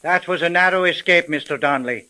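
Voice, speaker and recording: A man playing a wisecracking, commanding homicide sergeant in a 1930s radio drama, with colloquial, brisk speech. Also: on the high side, bright, forceful tone. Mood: wry, firm. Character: gruff, wisecracking male police homicide sergeant.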